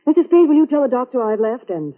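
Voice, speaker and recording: Coy radio nurse, tinny sound. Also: female voice, high-pitched, big pitch swings, fast. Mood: coy, teasing. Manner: coy, knowing. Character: cool, flirtatious female nurse, world-weary and teasing. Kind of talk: 1940s radio drama acting. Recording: tinny old radio recording.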